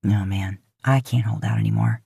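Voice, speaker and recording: A woman's voice: extremely low pitch, big pitch swings, moderate pace, vocal fry, mellow, slightly rough, no room sound, pitched below the performer's own voice, full character voice. A woman voicing a flirtatious, easygoing man in his mid-twenties with a casual, teasing drawl, in an audio fan fiction. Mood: playful, coaxing.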